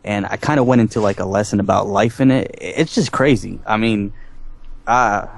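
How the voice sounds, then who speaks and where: A man's voice: lowish voice, moderate pace, creaky. A man on a podcast reflecting and thinking aloud in a casual way.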